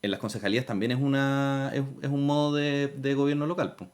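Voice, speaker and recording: A man's voice: medium pitch, little pitch movement, fairly quick, clear, resonant tone. A man with a Chilean accent, hosting a casual, conversational interview.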